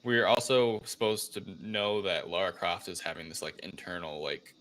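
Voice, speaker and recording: A man hosting a casual film discussion, recapping the plot in a loose, halting way with plenty of filler phrasing. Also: deep voice, a bit bright, slight background noise, poor audio. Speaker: podcast host recapping a film.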